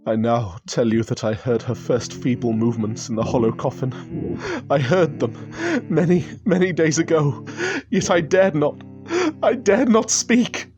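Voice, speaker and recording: An actor playing a terrified, guilt-ridden gentleman in a radio drama, speaking in feverish, theatrical, archaic phrases. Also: mid-range voice, a little slow, muffled recording, natural voice. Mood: feverish terror. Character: terrified, guilt-ridden, nervously sick gentleman haunted by his sister. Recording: dramatic music behind the voice.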